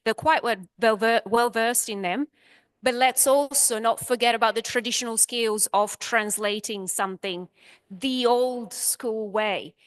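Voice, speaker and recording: A woman speaks frankly and emphatically as a panel lecturer. Her fairly high voice is dry and close to the mic. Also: a little slow, clear, resonant tone, no echo. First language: Italian. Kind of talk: confident panel answer.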